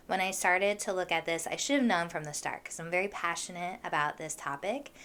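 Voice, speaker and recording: A young woman hosting a casual podcast, chatting with a froggy, hoarse voice from allergies. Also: lowish voice, a bit bright. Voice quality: froggy, husky voice. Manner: chatty, with many fillers and laughs. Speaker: podcast host. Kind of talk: casual solo podcast chat.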